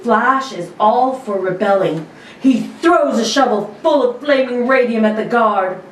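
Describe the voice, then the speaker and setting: A woman on a podcast reading old radio-serial narration aloud, slow and amused, with lively pitch. The mic sits at a distance in an echoey room. A faint hiss runs underneath. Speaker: podcast panelist.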